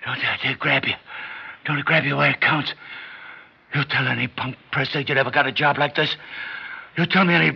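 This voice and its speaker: A gravelly, husky old mob boss on his deathbed, stammering out rough slang and desperate schemes. The voice sits a little high, and it all comes through a dull, lo-fi old radio broadcast. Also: steady tone, brisk, pauses often. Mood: manic. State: gravely ill, weakening. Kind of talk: 1960s radio drama acting.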